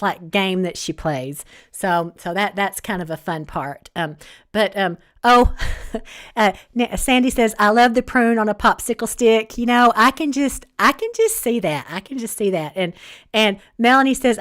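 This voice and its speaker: A woman with a Southern accent from Alabama, an author chatting casually on a livestream and reading out viewer comments, rambling with many pauses and restarts. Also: mid-range voice, fairly bright tone. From Northeast Alabama. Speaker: author hosting a live book chat.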